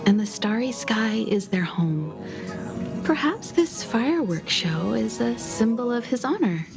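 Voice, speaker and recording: A woman's voice: on the high side, very animated, a little slow, gravelly, music in the background, full character voice. A woman voicing a wise, reflective, composed video game character with a thoughtful manner.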